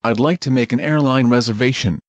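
A man's voice: mid-range voice, no echo. Man reading phrases, slightly muffled. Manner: measured, plain, each phrase read alone. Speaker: English phrase reader. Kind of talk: slow clear phrase reading for learners. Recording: dull, slightly muffled dry audio.

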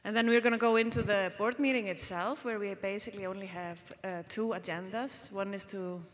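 A woman's voice: medium pitch, a little fast. Woman, from Iceland, board chair, casual and chatty, hall reverb. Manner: relaxed, chatty, with frequent fillers. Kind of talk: chairing a public meeting. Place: conference hall stage.

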